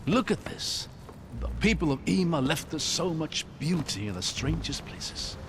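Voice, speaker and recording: Lively, higher-pitched older scholarly adventurer. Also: male voice, a little slow, slight background noise. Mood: wry, musing. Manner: courteous, wry, expressive storytelling delivery. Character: spry, scholarly older male traveler, talkative and good-humoured. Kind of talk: cinematic video game acting. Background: background music.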